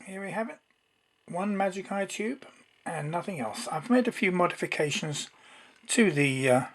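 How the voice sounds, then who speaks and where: A presenter giving an informal hands-on technical demonstration in an unhurried, explanatory way. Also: mid-range voice, animated.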